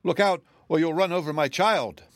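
A man's voice: a little high, moderate pace, no echo, clean studio sound, voice pushed up, lightly acted. A man voicing a hysterical, weeping woman in a storybook, sobbing and panicking as she frets over tiny harms. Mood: frantic.

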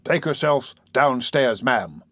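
A pompous parish official from a classic storybook, acted by a man, stern and bullying. He blusters with lofty indignation in wide swings of pitch, recorded dry and close. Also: animated, a little fast, slightly rough, dry recording. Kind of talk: audiobook character voice.

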